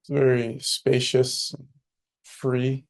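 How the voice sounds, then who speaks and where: A man leading a guided meditation as a teacher, speaking calmly and unhurriedly with long pauses. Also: medium pitch.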